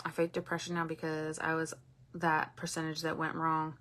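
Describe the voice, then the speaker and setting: A woman in her late thirties talks openly to her livestream viewers, chatting and answering their comments as they come in. Her voice is bright and forceful, sitting somewhat low for a woman. She is frank and swears now and then. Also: completely flat delivery, moderate pace, slight background noise. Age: 30s. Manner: candid and chatty, swears freely, warm to commenters. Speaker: livestream host. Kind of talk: casual livestream chat reading viewer comments.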